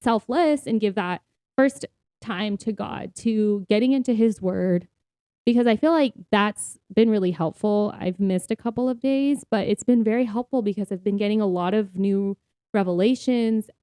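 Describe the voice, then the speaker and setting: A woman's voice: mid-range voice, moderate pace, mellow, clean tone, no echo, studio quality. A woman chatting informally as a podcast co-host, in a talkative, conversational way. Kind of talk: casual conversational podcast chat.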